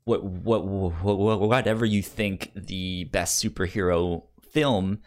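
A man's voice: low-pitched, fairly even intonation, slow. A man with a stammer that repeats sounds and words, casually discussing films as a podcast co-host.

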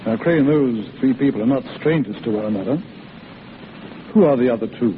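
An actor playing a kindly middle-aged hospital doctor, avuncular, deliberate and probing, in a 1940s radio drama. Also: male voice, medium pitch, close to an everyday voice. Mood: earnest, probing. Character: kindly, fatherly head doctor of a rehabilitation hospital. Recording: tinny, hissy old radio broadcast.

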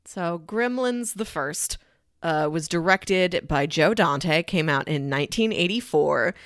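Low-pitched woman chatting casually, dry close sound. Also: expressive, takes it slowly, a bit bright, no room sound. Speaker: podcast co-host. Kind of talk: casual film discussion.

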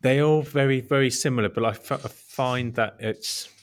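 A man's voice: mid-range voice, moderate pace. A man in casual conversation, easygoing and agreeable, stumbling over words now and then. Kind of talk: casual chat.

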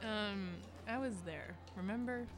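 A woman's voice: medium pitch, unhurried, over music, close to an everyday voice. A woman acting as a sweet teenage girl with a crush, eager yet tentative, in a fiction podcast. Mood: concerned, hurt. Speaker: voice actor.

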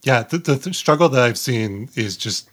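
Medium-pitched man, casual interviewer. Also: animated, moderate pace, loud music behind the voice. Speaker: podcast host, interviewer.

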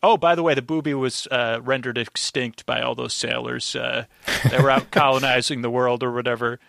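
A man chatting casually as a podcast guest, halting and often interrupting himself, close on the microphone. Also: mid-range voice, steady tone, vocal fry, a bit bright, no echo. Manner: rambling, halting, self-interrupting. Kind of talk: casual recap podcast chat. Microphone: close, dry podcast microphone.

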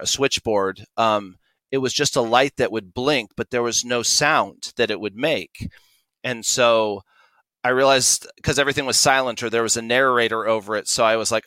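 Bright, clear-voiced American man explaining his craft. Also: mid-range voice, no echo. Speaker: podcast guest, audio drama producer. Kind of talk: interview answers, explanatory storytelling.

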